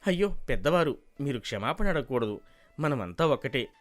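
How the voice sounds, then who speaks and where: A man's voice: medium pitch, big pitch swings, normal speed, lightly acted. Guy playing a humble wise cook, respectful, earnest, mid voice, cartoon moral story. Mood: kindly. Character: humble, wise, devoted male household cook. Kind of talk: animated moral story dialogue.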